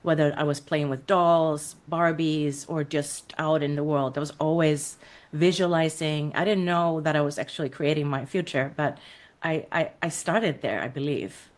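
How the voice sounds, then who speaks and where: Low-voiced woman from Iceland, reflective. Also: fairly even intonation, moderate pace. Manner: reflective, storytelling, frequent fillers and laughs. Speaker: podcast guest, creative coach. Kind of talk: casual interview conversation.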